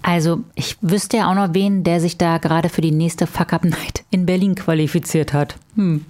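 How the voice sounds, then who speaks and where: A woman co-hosting a morning news podcast, chatty and lightly teasing. Her voice sits somewhat low for a woman. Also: fairly even intonation, normal speed, darkish tone. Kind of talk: conversational news presenting.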